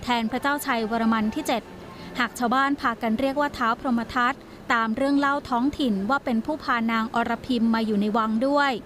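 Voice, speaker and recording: A woman narrates a documentary in a high, measured voice. Her reading is steady and scripted. A strong music bed runs beneath her. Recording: voice-over with loud music bed.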